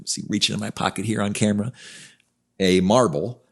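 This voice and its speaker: A man's voice: lowish voice, steady tone, brisk. A man in his fifties co-hosting a podcast, talking in an earnest, reflective way in casual conversation. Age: 50s. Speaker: podcast co-host, coach.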